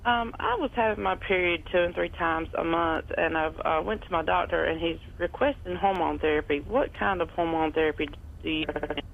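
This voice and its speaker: Very creaky woman caller on telephone. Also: lowish voice, brisk. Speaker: caller to a health show. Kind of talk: asking a medical question. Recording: noisy narrow telephone line.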